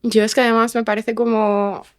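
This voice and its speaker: A woman's voice: fairly high pitch, flat delivery, normal speed. A woman with a Spanish accent from Spain, speaking casually as an interview guest. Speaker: podcast writer and director, interview guest. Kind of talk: casual conversation.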